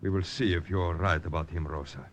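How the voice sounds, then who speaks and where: An actor playing a hard, suspicious Italian partisan leader, menacing and commanding, in old radio drama style. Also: male voice, sits low, fairly even intonation, brisk. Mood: commanding, angry. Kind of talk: 1940s-50s radio acting. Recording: old-time radio broadcast recording.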